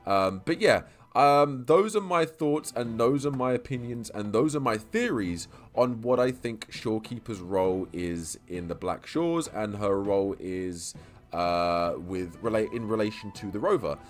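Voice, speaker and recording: A man talking through game-lore theories in a casual vlog. He stutters and repeats himself, restarting words and rambling on quickly. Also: fairly low pitch, animated, faint music behind. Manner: talks quickly. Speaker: YouTube lore creator.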